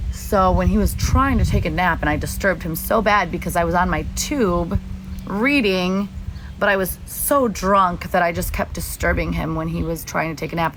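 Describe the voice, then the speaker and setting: A young woman from the United States talks on a casual livestream in a lowish voice, rambling and candid with lots of likes and ums. She swears freely. Faint outdoor noise sits under her phone audio. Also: a bit bright. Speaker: livestream host. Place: outdoors at a beach or lake.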